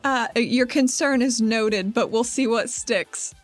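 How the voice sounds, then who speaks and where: A woman's voice: a little high, slow, faint music behind. Woman playing a casual, wry fighter. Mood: casual, resolved.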